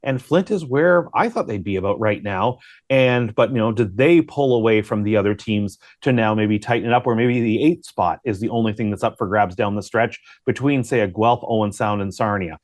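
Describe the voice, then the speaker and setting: Male sports broadcaster, lower register, conversational analysis with rhetorical questions, no room echo. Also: moderate pace, clean studio sound. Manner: thinks aloud with rhetorical questions.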